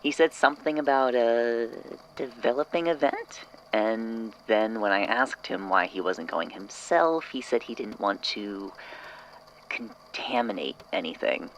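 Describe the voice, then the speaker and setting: Bemused office colleague man acting, audio drama; gravelly fry, casual halting delivery, faint noise. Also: on the low side, a bit bright, rough recording. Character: casual, slightly baffled colleague relaying a message.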